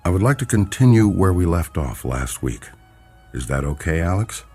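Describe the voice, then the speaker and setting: A very low male voice plays a radio-drama hypnotherapist. He is formal, measured and proud of his practice, speaking over a music bed. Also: sing-song, darkish tone, slightly rough, heavily acted. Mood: soothing, hypnotic. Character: defensive hypnotist doctor, soothing with patients.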